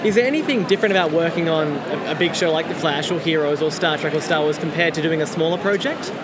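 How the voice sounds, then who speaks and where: A man's voice: fairly high pitch, fast. A man hosting an interview, putting prepared questions to a guest. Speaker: interviewer, host. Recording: loud busy convention crowd noise.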